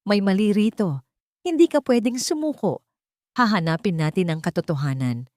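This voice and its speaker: A woman's voice: mid-range voice, animated, normal speed, darkish tone, dry recording, studio quality, voice pushed up, close to an everyday voice. A woman reading as a determined, formal male lawyer in a romance drama story. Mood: urgent reassurance. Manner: firm, formal.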